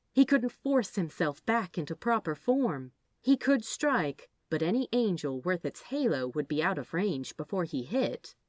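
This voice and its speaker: A woman narrates fiction in a fairly low voice. Her delivery is expressive, with pitch that moves widely as she reads the scripted story. The recording is dry, with very little room sound. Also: no room sound. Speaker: podfic narrator.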